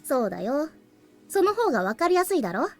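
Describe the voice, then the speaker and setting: A woman voicing a calm, aloof young boy with a cool, matter-of-fact, slightly cheeky manner in a Japanese visual novel. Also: sits high, racing. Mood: cool, blunt. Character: calm, aloof young boy, crystallized past memory of the hero.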